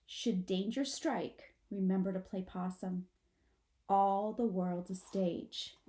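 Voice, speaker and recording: Woman playing storybook possum mama, mellow, theatrical, firm yet wistful, giving instructions. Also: mid-range voice, steady tone, takes it slowly, natural voice. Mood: solemn, urging.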